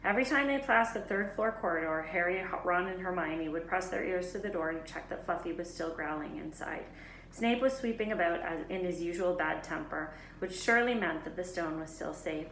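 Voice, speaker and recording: Female storybook narrator, teacher reading aloud, slightly low register, roomy recording with light background noise. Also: fairly quick, fairly bright tone. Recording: echoey room, faint background noise.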